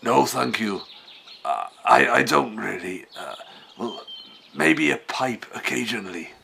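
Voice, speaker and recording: A man's voice: on the low side, big pitch swings, measured pace, creaky, pushed and bright, slight background noise, heavily acted. An actor playing a polite, shaken 1940s man in a radio play, speaking hesitantly and formally. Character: polite, shaken man stranded from 1947, increasingly urgent.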